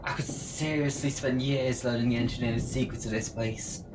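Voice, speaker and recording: A woman playing a very deep-voiced, curious engineer. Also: steady tone, normal speed, far from the mic, noisy background. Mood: teasing, eager. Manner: inquisitive, casual, teasing. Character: curious, teasing young engineer travelling as companion. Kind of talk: scripted audio drama acting.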